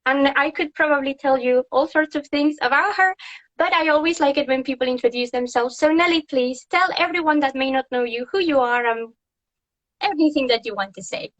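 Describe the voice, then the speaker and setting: A woman's voice: sits high, fairly quick, fairly bright tone, highs cut off. A woman hosting a casual interview as a tour guide and food historian, chatty and laughing often.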